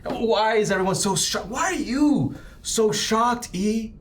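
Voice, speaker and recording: A man playing an oily, menacing corporate agent in a tabletop game, cajoling and slipping into hushed threats. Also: high voice, takes it slowly, a little hiss or hum, voice pushed up. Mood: indignant, bullying. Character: slick, menacing male corporate agent, bribing and threatening crew. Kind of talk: improvised tabletop roleplay acting.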